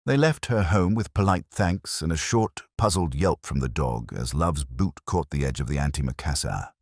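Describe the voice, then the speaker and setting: Very deep, husky male story narrator. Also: expressive, normal speed, no echo, clean studio sound. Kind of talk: scripted narration of a cosy mystery story.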